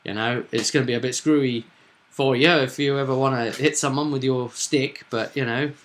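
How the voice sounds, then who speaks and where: A man with a bright, forward voice talks casually as a game master. He retells a tabletop roleplaying session like a story, leaning hard on key phrases for dramatic effect. His pitch sits in the middle of the male range. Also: fairly even intonation, moderate pace, a little hiss or hum.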